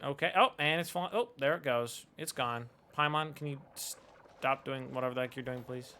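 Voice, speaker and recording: A man's voice: mid-range voice, normal speed, sharp tone. A man in his twenties giving casual, slangy live game commentary in a reactive, jokey manner. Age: 20s. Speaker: game streamer. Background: faint game music.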